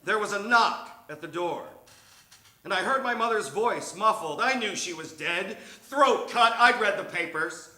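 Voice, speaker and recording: A man reading a comic personal story live on stage in a theatrical, measured way. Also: high voice, animated, sharp tone. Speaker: reader of a humorous memoir story. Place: theatre stage before an audience. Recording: echoey live stage recording.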